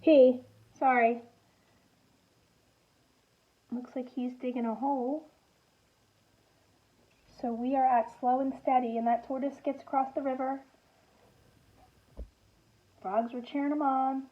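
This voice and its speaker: A woman with a high voice reads a picture book aloud as a teacher would to small children. She takes her time, adding expressive comments and simple questions for the listeners. The recording sounds muffled and thin. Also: a little slow, long pauses. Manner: expressive, animated storytelling with gasps and exclamations; child-directed, asking prompting questions.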